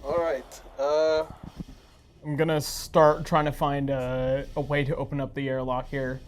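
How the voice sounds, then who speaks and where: Slow-talking tabletop game player. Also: mid-range voice, little pitch movement, slight background noise. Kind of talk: casual game-table chat.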